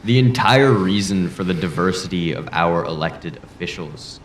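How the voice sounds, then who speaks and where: An actor playing a proud, principled politician in an audio drama debate, speaking in an earnest, blunt and deliberate way. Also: male voice, low-pitched, expressive. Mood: impassioned. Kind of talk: audio drama acting, formal debate rhetoric. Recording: roomy echo, faint background noise.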